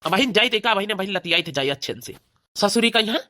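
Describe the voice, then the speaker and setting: A woman's voice: mid-range voice, lively intonation, fast talker, bright, forceful tone. A woman voicing a blustering, indignant village husband, protective of his mother and sister, with an Awadhi accent in a comedy cartoon.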